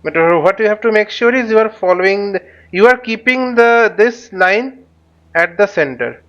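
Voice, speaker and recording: Higher-voiced man with a clear, smooth tone. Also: lively intonation, fairly bright tone, muffled recording. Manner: halting, repeats and rephrases phrases. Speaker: flight simulator instructor and channel host. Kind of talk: live tutorial commentary while flying a simulator.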